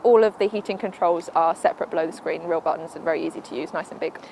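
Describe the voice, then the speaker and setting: A woman, a car reviewer giving a brisk, matter-of-fact verdict on an in-car system. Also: deep voice, vocal fry, background noise. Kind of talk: product review.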